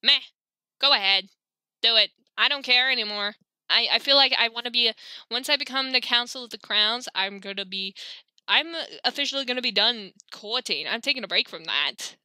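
A woman hosting a let's play, chatting casually and sounding exasperated while reading game text aloud, her voice slightly hoarse. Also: on the high side, moderate pace, pushed and bright, no echo. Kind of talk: casual gameplay commentary.

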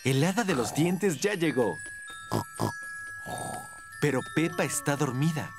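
A man narrating a children's cartoon in simple, clearly phrased storybook style. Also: on the high side, expressive, measured pace, music in the background.

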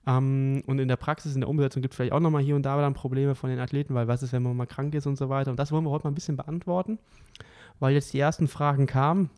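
Guy cohosting a podcast, laid-back chat, lots of ähms and laughs, middle pitch. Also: fast. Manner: relaxed, many fillers, laughs easily. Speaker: triathlon coach and podcast co-host.

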